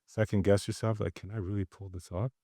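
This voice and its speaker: A man's voice: on the low side, level tone, a little fast, dark tone, dry recording, studio quality. A man hosting a podcast, asking casual questions and encouraging the guest with frequent short replies. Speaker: podcast host.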